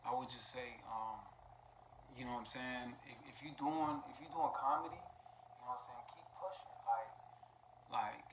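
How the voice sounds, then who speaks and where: American man, halting talk in echoey room. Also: mid-range voice, fairly even intonation. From Little Haiti, Miami, United States. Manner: halting, repetitive, peppered with "you know what I'm saying". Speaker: comedian being interviewed. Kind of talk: casual interview chat. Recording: echoey room with faint noise.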